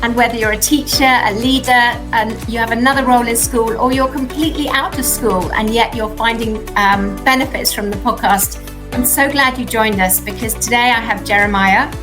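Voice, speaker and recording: A woman with a fairly high voice hosts a podcast interview. She leans on words slowly for emphasis and sounds encouraging toward her guest. Faint music plays behind her. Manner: warm, encouraging, drawn-out emphasis. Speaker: podcast host.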